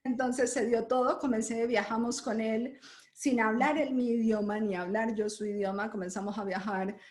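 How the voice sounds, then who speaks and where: A woman from Colombia, a podcast guest, telling her life story in a lively way with frequent laughter. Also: fairly high pitch, moderate pace. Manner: lively, laughs often while telling stories. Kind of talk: conversational interview storytelling. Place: lives in Germany.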